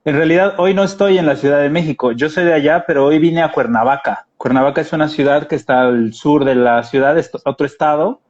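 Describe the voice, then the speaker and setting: Mexican Spanish male guest, relaxed chat, higher voice, dull lo-fi call audio. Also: brisk, hardly any pauses. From Mexico City. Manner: relaxed, easygoing, uses fillers like "este". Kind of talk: casual interview chat. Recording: muffled lo-fi video call.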